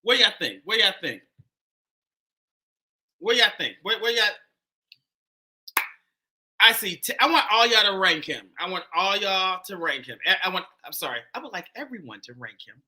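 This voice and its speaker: A man with a Pittsburgh accent hosts a live call-in dating show, loud, animated and chatty. His pitch swings widely, and his tone is very bright and forceful. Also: moderate pace, long pauses. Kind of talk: casual live-stream chat.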